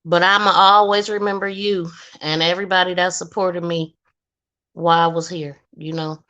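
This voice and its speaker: A woman's voice: fairly low pitch, flat delivery, normal speed, a bit bright. A woman from Ohio, a guest in a casual conversation, talking bluntly and laughing often.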